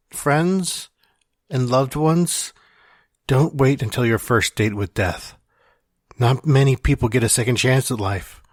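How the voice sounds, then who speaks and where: A man's voice: medium pitch, no echo, studio quality. A man playing a wry, confessional dying man reading out his farewell letter in an audio drama. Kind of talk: dramatic monologue in fiction podcast.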